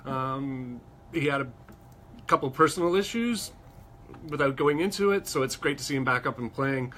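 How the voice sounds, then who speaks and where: A man's voice: mid-range voice, lively intonation, moderate pace, fairly bright tone, slight background noise. A man co-hosting a music show, casually running through upcoming concerts in a friendly, informative way. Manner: friendly, informative, slight laughs and fillers. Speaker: co-host of a music news show. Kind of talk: casual chat, event listings.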